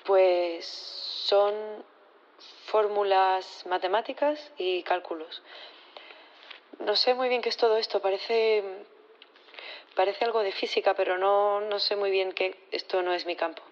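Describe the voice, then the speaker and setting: A woman acting as a nervous science professor on the witness stand in an audio drama, halting, unsure and stammering. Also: mid-range voice, fairly even intonation, dull audio, slight background noise, lightly acted. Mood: uneasy, evasive. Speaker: actor in audio fiction.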